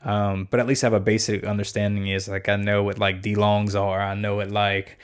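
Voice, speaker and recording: A man's voice: fairly low pitch, level tone, normal speed, smooth. Rambling young man hosting a podcast. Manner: rambling, lots of fillers and restarts. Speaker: podcast host. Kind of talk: casual podcast chat.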